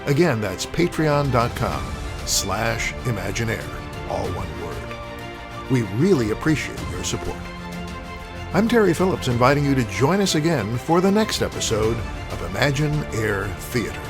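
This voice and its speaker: A man's voice: medium pitch, sing-song, normal speed. A man hosting an audio drama show, delivering a scripted narrated outro. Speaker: host and announcer. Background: music.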